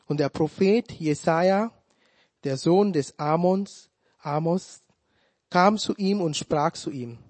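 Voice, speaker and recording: Man, Tamil accent, preacher, slow deliberate delivery, slightly high voice, dry close sound. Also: darkish tone, dry recording. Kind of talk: sermon.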